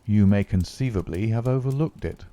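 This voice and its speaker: A man voicing a cool, confident male detective who speaks in a calm, dry, understated way. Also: lowish voice, normal speed, dry recording, close to an everyday voice.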